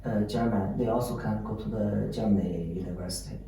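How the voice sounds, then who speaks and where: A host asks questions in English haltingly, with frequent "uh" pauses. The voice is very dark in tone. It sounds far from the mic in a strongly echoing room. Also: mid-range voice, fairly even intonation, vocal fry, a little hiss or hum. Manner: hesitant, many "uh" pauses. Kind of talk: interviewer asking questions in English. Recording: echoey room, mic at a distance.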